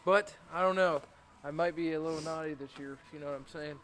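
Playful young American guy, higher-pitched voice. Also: steady tone, fast talker, a bit bright, a little hiss or hum. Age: young adult. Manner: playful, boastful slangy banter. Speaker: vlogger. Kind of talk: casual joking vlog chat. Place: mostly inside a large party store.

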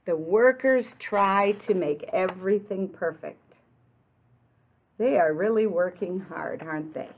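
An American woman in her sixties reads a picture book aloud like a teacher, expressively and deliberately, adding explanations and questions for young listeners. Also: on the low side, a little hiss or hum. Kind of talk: children's read-aloud with explanatory asides. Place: classroom, indoors. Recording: muffled, lo-fi webcam-like sound.

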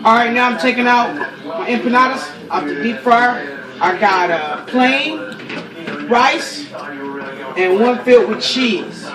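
A woman's voice: fairly high pitch, lively intonation, slow, long pauses. Woman in her thirties, playful trash talk, home phone video, group chatter behind. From Los Angeles. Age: 30s. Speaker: team captain. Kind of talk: casual audition pitch. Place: small home kitchen and living room. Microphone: handheld phone camera.

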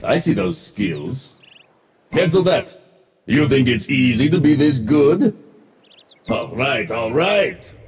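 A man's voice: mid-range voice, big pitch swings, takes it slowly, pauses often, a little hiss or hum. A man voicing a smug, wisecracking futuristic mech-suit warrior for a video game, in punchy, boastful lines. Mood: smug, taunting. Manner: boastful, punchy, clipped callouts. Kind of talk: video game voice pack barks.

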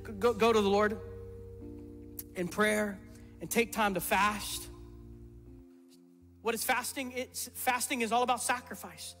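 A man's voice: sits high, fairly even intonation, unhurried, pauses often. A middle-aged man preaching a sermon as pastor, emphatic and repeating words for stress. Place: church stage before a congregation. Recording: reverberant hall with soft music.

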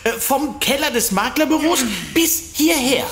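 A woman's voice: high-pitched, lively intonation, a little slow. An actress playing a flustered, hesitant woman in a comedic audio drama. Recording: echoey space, faint noise.

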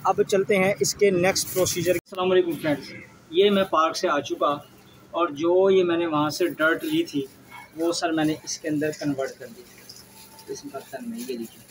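A man with a Pakistani accent presents an informal tutorial in a high-pitched voice. He explains emphatically, often repeating his phrases. The mic sits at a distance, and there is clear background noise. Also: steady tone, moderate pace. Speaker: angler presenting a fishing tutorial. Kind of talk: informal how-to vlog narration. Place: outdoors in a park, later another spot after leaving it. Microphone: phone camera, some distance away.

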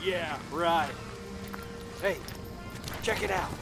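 Curious squad soldier, slow, high voice, questioning, game dialogue, music behind. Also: male voice.

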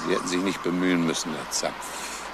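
An actor playing a despairing, self-justifying schoolteacher in a halting, brooding confession, in a crime radio play. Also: male voice, low voice, gravelly. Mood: resigned. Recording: noisy old radio play recording.

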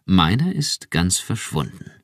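A man voicing a cautious husband who speaks carefully and quietly pleads. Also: sits low, sing-song, dry recording, clean studio sound, exaggerated voice. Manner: careful, measured.

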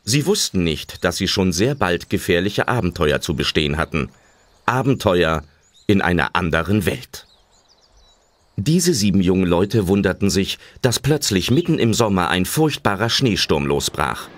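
A man's voice: deep voice, big pitch swings, moderate pace, no echo. A man narrating a scripted children's adventure audio drama in a storytelling style.

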